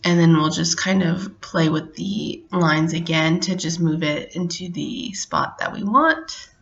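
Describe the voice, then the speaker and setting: Casual craft tutorial host, middle-aged woman, lowish gravelly voice, relaxed step-by-step delivery, slightly muffled recording. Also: a little slow. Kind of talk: casual how-to narration.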